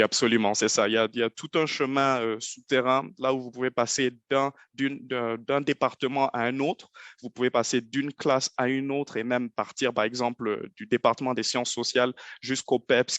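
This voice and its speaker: A man's voice: medium pitch, fairly even intonation, normal speed, fairly bright tone, dry recording. Earnest man with a Nigerien accent. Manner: earnest, repeats words while searching. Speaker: guest speaker. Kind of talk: conversational advice talk.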